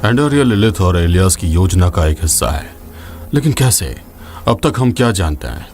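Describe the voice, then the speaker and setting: An actor playing a grave, wary veteran demon hunter and scholar in a fantasy game dub, solemn and measured. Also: male voice, deep voice, sing-song, darkish tone. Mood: grave, pondering. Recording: music behind the voice.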